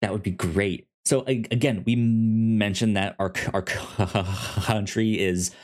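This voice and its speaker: A man from the United States co-hosting a casual film discussion, halting and often stammering on the first sounds of words. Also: lowish voice, fairly even intonation, a little mellow. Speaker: podcast co-host discussing films.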